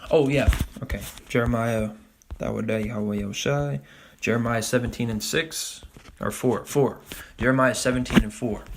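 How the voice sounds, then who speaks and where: A man teaching a Bible study, talking casually with frequent "all right?" and reading scripture passages slowly and deliberately. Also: mid-range voice, creaky, slight background noise. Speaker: religious teacher.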